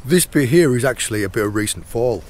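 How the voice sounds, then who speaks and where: A hoarse, croaky middle-aged man talks casually while foraging outdoors on a rainy rocky shore. His pitch sits in a middle range, and he keeps up a chatty flow. A faint hiss of noise runs under the voice. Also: expressive, quick pace, darkish tone. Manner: chatty, points things out as he goes. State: hoarse, croaky sore throat. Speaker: channel host and foraging guide.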